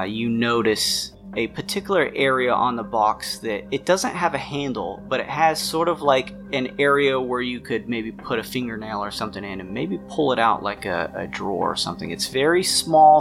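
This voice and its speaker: Gravelly man narrating a tabletop game. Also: medium pitch, a bit bright. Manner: conversational, descriptive. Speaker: game master. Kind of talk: improvised narration of a tabletop horror-mystery game. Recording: music playing behind the voice. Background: background music.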